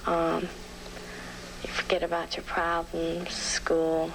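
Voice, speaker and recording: A teenage girl answering interview questions in a halting, subdued way on an old documentary soundtrack. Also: lowish voice, monotone, creaky, noisy background. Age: teens. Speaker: interviewed student marijuana smoker. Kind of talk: hesitant casual documentary interview.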